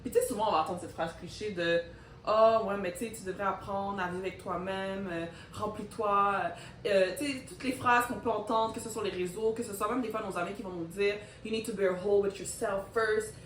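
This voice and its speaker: A woman's voice: medium pitch, fairly even intonation, brisk, far from the mic. A woman in her thirties with a Québécois accent, co-hosting a casual talk and speaking reflectively, with hesitations and English mixed in. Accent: Québécois French. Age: 30s. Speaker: co-host. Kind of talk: casual chat. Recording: echoey room with faint noise.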